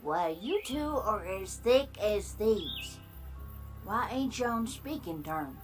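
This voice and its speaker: A woman's voice: mid-range voice, takes it slowly. A woman voicing a feisty, suspicious elderly granny pony in a cartoon comic dub, with a folksy drawl. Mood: probing. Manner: folksy drawl, scolding, animated. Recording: loud music underneath.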